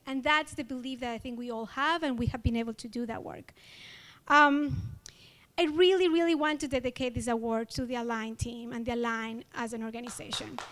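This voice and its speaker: A woman with an Ecuadorian Spanish accent and a high voice gives an award acceptance speech. She sounds earnest and grateful, and she pauses and uses fillers as she speaks. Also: fairly bright tone. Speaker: award honoree.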